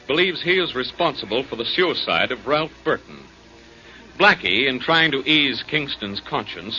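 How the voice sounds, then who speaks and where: A male announcer giving a formal, measured recap in a 1940s radio drama. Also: medium pitch, sharp tone. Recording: dull old radio recording with faint music.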